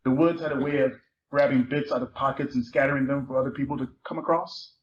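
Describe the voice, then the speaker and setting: A man's voice: mid-range voice, steady tone, fast. A man giving a dramatic reading of a novel, narrating and acting out its dialogue. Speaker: theater performer reading a book excerpt aloud. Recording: muffled live recording with faint noise.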